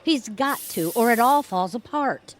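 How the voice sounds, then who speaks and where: A woman's voice: a little high, measured pace. An actress playing a sarcastic, determined woman scheming against her rival in an audio comedy drama. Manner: sarcastic, expressive.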